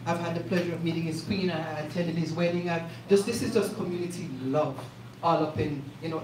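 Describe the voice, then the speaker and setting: A woman hosting a community event, speaking with emphatic, repetitive energy to the crowd. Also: low voice. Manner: warm, repetitive, emphatic, animated. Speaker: event host. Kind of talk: live event speech to a crowd. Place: community event space indoors. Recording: echoey room recorded from a distance, noisy.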